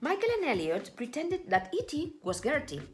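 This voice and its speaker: A woman narrates a children's storybook in a fairly high voice that rises and falls dramatically. She takes her time over each phrase, with faint music underneath. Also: big pitch swings, normal speed. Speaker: storybook reader. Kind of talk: expressive read-aloud children's story narration.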